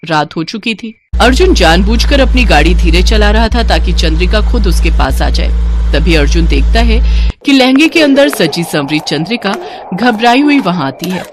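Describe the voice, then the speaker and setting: A woman storyteller reads scripted narration. Her delivery moves up and down in pitch expressively, and there is clear noise behind her voice. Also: big pitch swings, normal speed.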